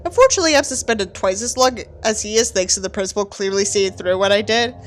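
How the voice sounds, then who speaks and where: A woman's voice: medium pitch, fast. A woman giving a mock-dramatic reading of a book with theatrical, sarcastic delivery and candid asides. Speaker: host reading a book aloud with commentary.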